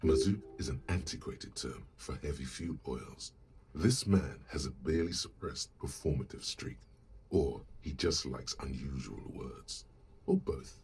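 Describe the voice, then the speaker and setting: A male actor voicing a detective's knowing, observant inner voice, narrating a video game in a measured way. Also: very deep voice, a little mellow, husky, heavily acted. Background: background music.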